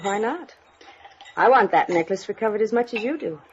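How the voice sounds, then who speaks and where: A woman's voice: mid-range voice, expressive, normal speed, natural voice. A woman playing a poised, coy mansion woman in an old-time radio noir drama. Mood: coy, flirtatious. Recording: muffled vintage radio drama recording.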